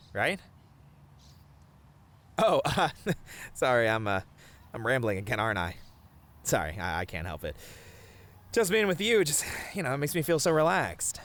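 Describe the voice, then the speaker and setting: A man playing a sweet, bashful boyfriend in a romantic ASMR roleplay, talking tenderly in a flustered, halting way. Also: mid-range voice, a bit bright, close to an everyday voice. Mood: cheerful, affectionate. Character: sweet, bashful, rambling boyfriend on a picnic date.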